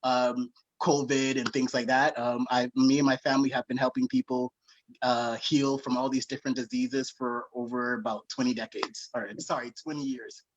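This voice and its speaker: A man's voice: medium pitch, little pitch movement, moderate pace. Friendly man on dull lo-fi audio. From Bahamas. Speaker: guest. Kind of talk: casual video-call chat.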